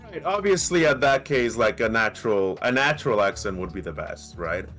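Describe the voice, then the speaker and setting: A low-pitched man with a light Mexican accent makes his case on a casual gaming podcast. He talks in an animated way, restarting his sentences and tagging them with "right?". Soft music plays faintly behind him. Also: normal speed. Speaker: podcast co-host. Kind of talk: casual debate.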